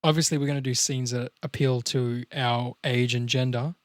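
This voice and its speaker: A man with a medium-pitched voice talks casually as a podcast co-host. The sound is close and dry. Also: steady tone, normal speed, dry recording.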